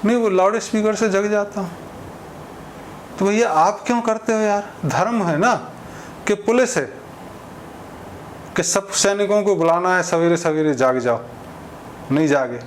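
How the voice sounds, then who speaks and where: High-pitched man giving informal commentary, some noise. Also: measured pace, long pauses. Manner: conversational, illustrates points with examples and imagined exchanges. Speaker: speaker giving social commentary. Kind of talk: informal opinion monologue.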